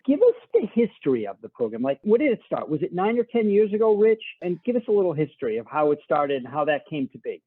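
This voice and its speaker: A man's voice: on the high side, very animated, fast talker, hardly any pauses, slightly rough, dry recording. A man with a Boston accent hosting a podcast interview, asking questions in a chummy, casual way. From Boston, Massachusetts. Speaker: podcast host. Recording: tinny phone-like line.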